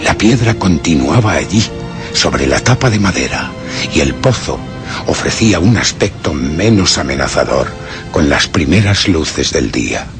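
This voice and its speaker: An actor playing a tormented, guilt-ridden gentleman narrating his descent into madness in a horror radio drama, with a brooding literary delivery and a Castilian accent. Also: a bass voice, very animated, measured pace, gravelly, heavily acted. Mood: haunted, brooding. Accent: Castilian Spanish. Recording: music bed behind.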